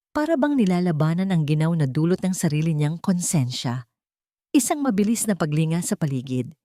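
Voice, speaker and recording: A woman's voice: lowish voice, warm tone, no room sound, studio quality. A woman narrator reading a scripted, dramatic story.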